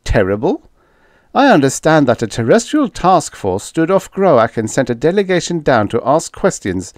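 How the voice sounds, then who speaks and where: A man acts out a hard-nosed, sardonic male diplomat in an audiobook story. He speaks in a pressing, accusing way, with lively swings of pitch, in a close, dry recording. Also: moderate pace, no echo. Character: tough, sarcastic male diplomat, blunt and accusing. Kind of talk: audiobook character dialogue.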